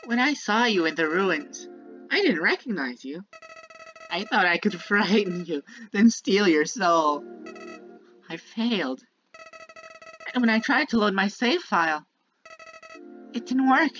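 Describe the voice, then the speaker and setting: A woman's voice: medium pitch, expressive, unhurried, pauses often, fairly bright tone, highs cut off. A woman voicing a sinister, childlike talking flower from a video game, bitter and manipulative, delivering a theatrical monologue that moves between sweet musing and menace. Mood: curious, searching. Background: prominent music.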